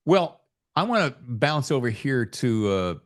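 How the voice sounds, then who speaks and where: A man's voice: medium pitch, sing-song, unhurried. A man hosting a podcast in casual interview chat, talking in an enthusiastic, folksy, colloquial way. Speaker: podcast host.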